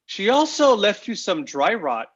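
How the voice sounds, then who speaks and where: A man's voice: high voice, wildly swooping pitch, measured pace, husky, muffled recording, heavily acted. A man playing a teasing, unbothered home contractor in a comedy table read.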